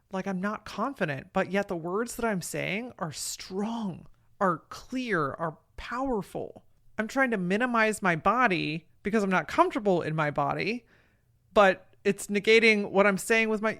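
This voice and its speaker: Woman with a low, deep voice. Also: fairly bright tone. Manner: frank and encouraging, casual with mild swearing. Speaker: podcast host. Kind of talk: solo podcast talk, motivational.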